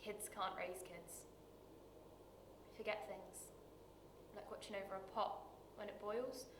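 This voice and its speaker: A woman acting a dramatic screen monologue as a bitter, wounded working-class woman, confessional and halting, with weighted pauses. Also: mid-range voice, fairly even intonation, lightly acted. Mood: pained. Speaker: actor performing a monologue. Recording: roomy echo with light background noise.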